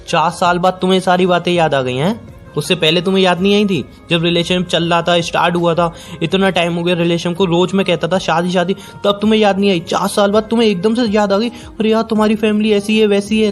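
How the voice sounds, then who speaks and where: An actor plays a young boyfriend in a relationship audio drama. His voice sits fairly high for a man, pleading and incredulous, hurt and pressing. Background music runs beneath it. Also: fairly even intonation, a little fast, lightly acted. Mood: hurt, accusing. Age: young adult. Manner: repetitive, pressing, exasperated. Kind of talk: acted phone-call drama in conversational Hindi with some English.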